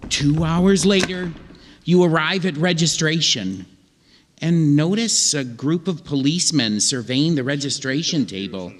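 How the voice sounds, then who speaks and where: A man narrating a scripted comic story on stage in an old-time radio show, deliberately and with dramatic pauses. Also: on the high side, lively intonation. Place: live theatre stage. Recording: theatre hall reverb, faint noise.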